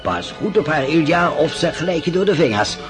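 An actor plays a gallant male dandy of high society in a radio play, flattering and gossipy. The voice sits fairly high and moves about a lot, affected and effusive, over clearly audible music. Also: moderate pace, natural voice. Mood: gossipy, relishing. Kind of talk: Dutch radio drama acting.

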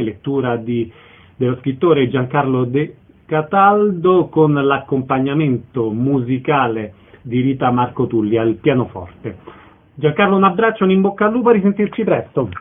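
A man with a fairly high voice hosts a radio programme, introducing guests, asking questions and reading out event details. The sound is thin and narrow, like a telephone line. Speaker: radio host, interviewer. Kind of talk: radio interview and event announcements.

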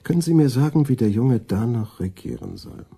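A man's voice: mid-range voice, expressive, a little fast, warm tone, breathy edge. A man playing a dry, respectful imperial chancellor in a radio drama. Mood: dry, sardonic. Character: dry, respectful male chancellor to a young emperor. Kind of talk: German radio drama acting.

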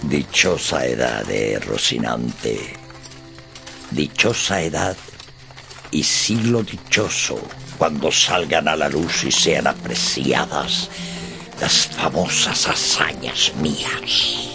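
An actor playing a gaunt, idealistic would-be knight in a radio drama, solemn, declamatory and pompous. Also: male voice, extremely low pitch, animated, slow pace, creaky, over music, full character voice. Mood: exalted. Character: gaunt, grandiose, idealistic would-be knight, middle-aged man.

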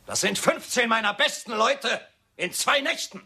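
A ruthless frontier boss in a radio drama. His voice is high and forceful, commanding and cynical. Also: animated, moderate pace, a little hiss or hum, exaggerated voice. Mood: irritated. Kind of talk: German radio drama acting.

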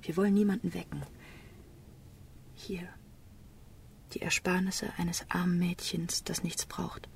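An innocent young woman in love, devoted and earnest. She speaks in a hushed, breathy, hesitant half-whisper with a creaky edge, a little away from the mic. It is radio drama with faint noise behind her. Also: lowish voice, level tone, a little slow, pauses often, distant mic. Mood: hushed, pleading. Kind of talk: German radio drama acting.

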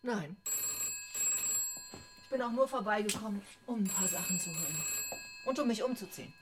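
An actress playing a distant, indifferent wife in a radio drama, terse and offhand. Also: female voice, mid-range voice, expressive, moderate pace, noisy background, lightly acted.

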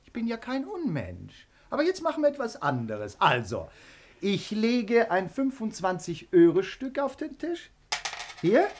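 A man's voice: high-pitched, huge pitch swings, measured pace, exaggerated voice. An actor playing a bullying, domineering official in a German radio drama, sneering and commanding as he mocks his victim. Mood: menacing, taunting.

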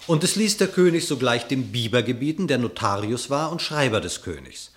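A man narrating a verse fable for a radio drama with measured, formal diction. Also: mid-range voice, big pitch swings.

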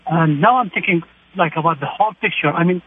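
A man's voice: on the high side, fast talker, slightly rough. A man from Iraq calling in to a radio talk show, earnest and searching as he talks about his situation. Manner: earnest, searching, plain-spoken. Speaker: caller. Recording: phone line.

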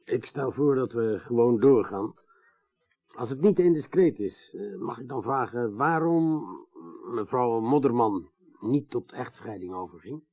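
An actor playing a courteous, methodical police detective in a radio drama, asking careful, polite, slightly hesitant questions. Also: male voice, medium pitch, lively intonation, unhurried, mellow. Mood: methodical, curious. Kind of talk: naturalistic 1980s radio drama acting.

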